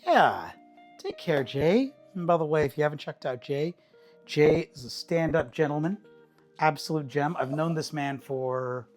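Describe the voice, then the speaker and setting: A slightly hoarse man chatting casually with viewers while cooking in his home kitchen, rambling in an easygoing way and chuckling often. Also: on the high side, lively intonation, measured pace, soft background music. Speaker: streamer.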